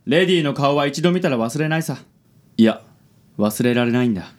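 Guy doing smooth self-loving handsome dude, mid voice, gallant, bits of english, radio comedy. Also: mid-range voice, expressive, fairly quick, lightly acted. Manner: smooth-talking, gallant, theatrically cool, sprinkles English words. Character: suave, self-admiring handsome man, charming ladykiller. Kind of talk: radio comedy drama acting.

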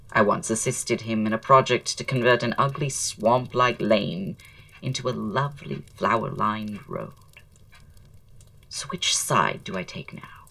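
An actor playing a witty, flamboyant Victorian writer and aesthete in an audio drama, speaking in a florid, languid, theatrical way. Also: male voice, mid-range voice, vocal fry, fairly bright tone, far from the mic, poor audio.

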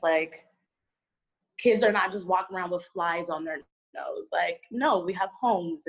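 A woman's voice: lowish voice, slightly rough, low-quality recording. A woman from South Sudan speaking casually and conversationally as a discussion panelist.

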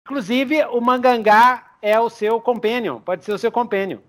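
A young man with a notably high voice runs a tabletop game. He improvises the story aloud in a loose, rambling way, and chuckles as he builds the scene. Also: moderate pace, a bit bright. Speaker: game master.

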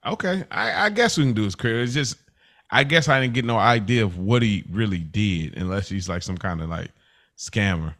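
A man's voice: fairly low pitch, expressive, normal speed, a bit bright. Male podcast co-host, casual and slangy review chat, conversational delivery.

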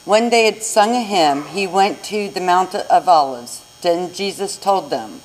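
A woman's voice: fairly low pitch, lively intonation, a little slow, a little hiss or hum, poor audio. A woman narrating a scripted scripture reading in church. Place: inside a church.

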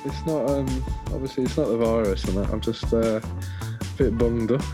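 A man's voice: medium pitch, normal speed, music in the background. A man co-hosting a podcast, bunged up with a cold and hesitant, with many fillers. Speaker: podcast co-host.

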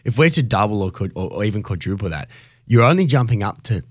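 A man's voice: lowish voice, big pitch swings, fast talker, dry recording. An Australian man co-hosting a radio show, giving opinions in blunt, colloquial, emphatic conversation close to a studio mic. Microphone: close studio radio microphone.

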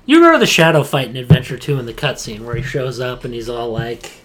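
A young man with a mid-pitched voice chats casually as a podcast co-host. He talks like an excitable fan, loose and slangy, slipping easily into banter. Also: fast, slight background noise. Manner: slangy, excitable fan talk with mimicked quotes. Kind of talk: casual banter about video games.

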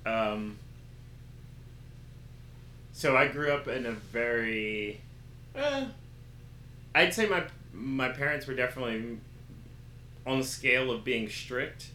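A man's voice: mid-range voice, measured pace, pauses often. A man being interviewed, talking casually and candidly, acting out quotes and using slang as he tells personal stories. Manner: animated storytelling with acted-out quotes and slang. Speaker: interview guest. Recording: roomy echo with faint background noise.

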